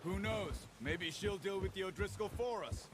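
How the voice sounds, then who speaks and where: An actor playing a calm, watchful, pragmatic outlaw hunter in a video game cutscene, speaking in a measured, matter-of-fact way. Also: male voice, high-pitched, background noise, natural voice. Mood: wary, dry.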